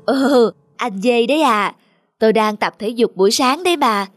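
Smug, condescending giraffe. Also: male voice, very high-pitched, normal speed, fairly bright tone, no echo, studio quality. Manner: boastful, teasing. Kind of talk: cartoon voice acting.

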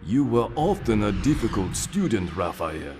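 A deep-voiced wise old rat master. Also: male voice, very animated, normal speed, big-room sound. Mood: calm. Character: wise old male rat sensei, calm. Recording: game cutscene over music.

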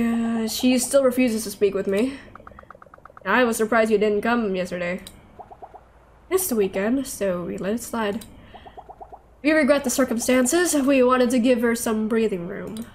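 A woman playing a composed, stern, slow-speaking mother. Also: on the high side, close to an everyday voice. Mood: stern, measured. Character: composed, stern middle-aged mother in a visual novel. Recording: clear background noise.

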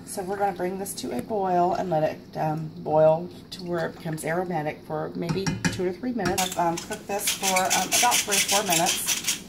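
A woman's voice: fairly low pitch, fairly even intonation, normal speed, very noisy. A woman in her forties hosting a casual home cooking show, chatty and full of fillers, recorded on a camera mic in her kitchen. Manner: chatty, filler-heavy, self-deprecating.

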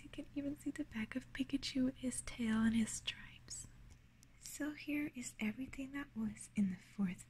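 A woman hosting an ASMR unboxing, whispering breathily and gently, sounding delighted, close to the mic at a table indoors. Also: high-pitched, a little hiss or hum.

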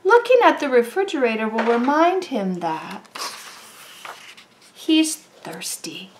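A woman reading a children's story aloud in an expressive, deliberate way, drawing out words for young listeners. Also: on the high side, a little hiss or hum. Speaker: storybook reader.

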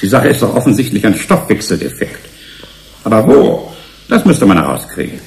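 An actor playing a defensive genetics professor in a German radio drama, brusque and matter-of-fact. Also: male voice, on the low side, gravelly, darkish tone. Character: male genetics professor, dry, defensive, unsentimental scientist. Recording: faint background noise.

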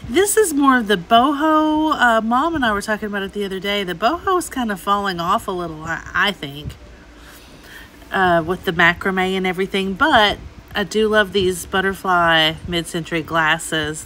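A woman's voice: mid-range voice, moderate pace, bright, forceful tone, a little hiss or hum, low-quality recording. A middle-aged woman giving casual, chatty shopping vlog commentary, gushing over the items she looks at. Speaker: host, antiques reseller. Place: inside an antique mall.

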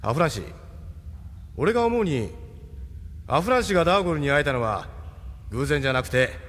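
A man's voice: a little high, sing-song, fairly quick, fairly bright tone. A man playing a wry, loyal ship captain in a Japanese audio drama, frank and familiar. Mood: reassuring. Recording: long hall reverb, faint noise.

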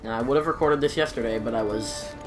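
A man's voice: medium pitch, fast. A young man with a stuffed-up, congested voice rambles through casual gameplay commentary. He is self-deprecating and apologetic. Faint music plays underneath. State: stuffed-up nose and throat. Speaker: gaming YouTuber.

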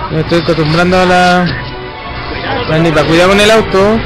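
A man's voice: high voice, a little fast, rough recording. A man with a Chilean accent chatting casually and giving callouts to friends while playing a video game. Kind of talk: casual gaming chat and callouts. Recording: loud game music over voice. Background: loud game music and sounds.

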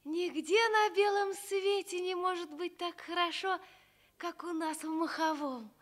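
Very high-pitched little village girl. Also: fairly even intonation, a little slow. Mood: joyful. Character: wonder-struck young village girl, gentle and eager. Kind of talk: Soviet radio fairy-tale acting.

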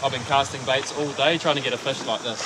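A man's voice: mid-range voice, fairly even intonation, fast talker, crowd noise. A man talking in a laid-back way on a rocky sea coast, calling his friends mate and bro. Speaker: guest angler. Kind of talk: casual fishing chat. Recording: wind and waves, noisy outdoor camera mic.

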